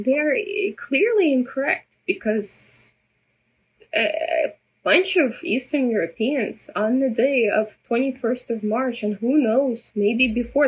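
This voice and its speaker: A woman's voice: on the high side, breathy edge, poor audio. A woman whose first language is Russian, talking unscripted to her viewers into a phone camera, rambling and stammering through many restarts. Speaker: vlogger addressing viewers. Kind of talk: unscripted personal monologue.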